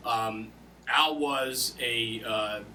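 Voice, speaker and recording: Male podcast host, bright forceful voice, casual storyteller, colloquial phrasing, distant mic. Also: mid-range voice, steady tone, very slow, a little hiss or hum. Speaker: podcast host and volunteer firefighter. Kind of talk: interview with animated personal anecdotes.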